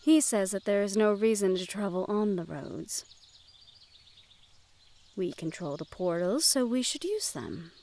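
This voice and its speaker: Formally spoken, gentle fantasy noblewoman, acted by a woman. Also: medium pitch, lively intonation. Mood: friendly, curious. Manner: formal, measured phrasing with few contractions. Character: gentle, curious noble young woman, ward of a dragon bodyguard. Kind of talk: fantasy audio roleplay, speaking to the listener. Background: outdoor garden ambience with birdsong.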